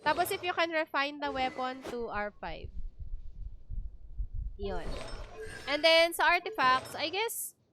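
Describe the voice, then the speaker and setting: A woman's voice: high voice, lively intonation, a little slow, long pauses, bright, forceful tone, faint music behind. A woman with a Filipino accent giving casual, chatty livestream game commentary, explaining things as she goes. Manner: chatty, explanatory, switches between two languages. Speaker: game streamer.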